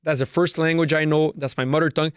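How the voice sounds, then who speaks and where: A man's voice: fairly high pitch, steady tone, quick pace, clear, resonant tone, no room sound, clean studio sound. A man from Canada whose first language is Serbo-Croatian hosts a solo podcast, delivering a profane, repetitive and emphatic rant. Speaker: podcast host.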